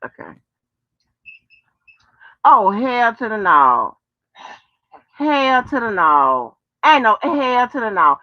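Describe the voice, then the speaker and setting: Animated high-pitched American woman. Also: no room sound. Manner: animated, profane, drawn-out exclamations, folksy terms of address. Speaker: host. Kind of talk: casual rambling chat to viewers and on the phone. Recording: dull lo-fi sound.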